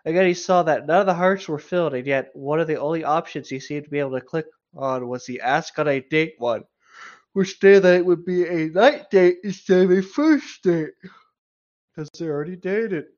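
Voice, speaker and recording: A man's voice: on the high side, moderate pace, darkish tone, lo-fi sound. A man co-hosting a casual read-aloud of a story, with sarcastic, mocking and profane commentary. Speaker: co-host reading a story aloud with running commentary.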